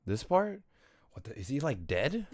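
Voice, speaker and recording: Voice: mid-range voice, very animated, moderate pace, dry recording, clean studio sound. A streamer casually commenting on a game, with slangy, muttered asides and exclamations. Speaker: live streamer reacting to a game. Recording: game music behind the voice. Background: game music and dialogue.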